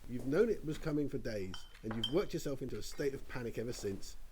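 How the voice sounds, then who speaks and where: A man's voice: medium pitch, animated, fast, warm tone, a little hiss or hum, close to an everyday voice. An actor playing an irritable, fatalistic male scientist in a radio drama, curt and scornful. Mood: irritated, dismissive.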